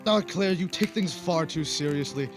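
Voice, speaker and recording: A man's voice: on the high side, normal speed, music in the background, lightly acted. A man acting a pompous, temperamental aristocratic poet in a period radio drama, theatrical and condescending. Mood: mocking.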